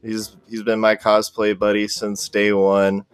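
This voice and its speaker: A man's voice: on the low side, completely flat delivery, unhurried, clean tone, no room sound, soft background music. A man chatting casually as a podcast guest, laid-back and agreeable. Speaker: podcast guest, cosplay content creator. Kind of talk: casual chat.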